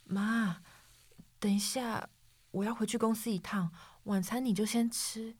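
A woman playing a high-voiced, worried working mother. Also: steady tone, measured pace, a little mellow, slight background noise, close to an everyday voice. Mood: defensive. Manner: pleading, defensive. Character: worried, hard-pressed working mother and wife. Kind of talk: radio drama acting.

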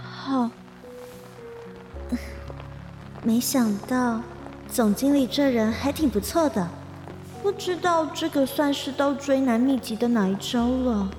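Casting: high-pitched young female office worker, lovestruck and easily flustered, hesitant, echoing room with music behind. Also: measured pace, darkish tone. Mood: shy, pleased. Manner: hesitant, full of little interjections. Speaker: voice actor in radio drama. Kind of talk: modern urban romantic comedy radio drama acting. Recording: roomy reverb, music behind.

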